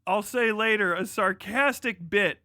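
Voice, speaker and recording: A man's voice: high voice, measured pace, pushed and bright, no room sound, studio quality. A man giving casual, mocking live reaction commentary as a streamer, incredulous and heavily profane.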